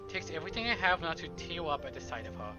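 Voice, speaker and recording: A man's voice: a little high, sing-song, fast, highs cut off. A man streaming a visual novel playthrough, chatting in a jokey, sweary way and reading text aloud. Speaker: game streamer. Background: visual novel music.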